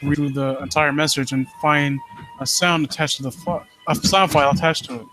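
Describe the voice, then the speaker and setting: A man hosting a live stream, reading and commenting on stories in a halting, rambling way and often rephrasing himself. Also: a little high, fairly even intonation, music in the background. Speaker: live stream host reading and critiquing amateur horror stories. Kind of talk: story read-aloud and casual commentary.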